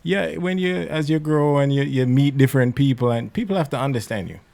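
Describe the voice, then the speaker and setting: A man with a Jamaican accent, a musician turned minister, telling stories in a relaxed interview with many fillers and restarts. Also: mid-range voice, fairly quick, creaky. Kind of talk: candid conversational interview.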